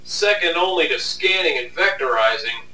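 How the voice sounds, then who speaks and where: A man's voice: fairly high pitch, lively intonation, normal speed, bright, forceful tone. A man casually narrating behind the scenes as an animator, with a sarcastic, mock-complaining manner. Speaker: animator and video host. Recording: distant mic, faint background noise.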